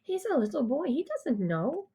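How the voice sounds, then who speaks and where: A woman's voice: sits high, wildly swooping pitch, normal speed, dark tone, pitched above the performer's own voice, heavily acted. A woman voicing a fretful, protective mother in an audiobook, exclaiming and chiding. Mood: scolding.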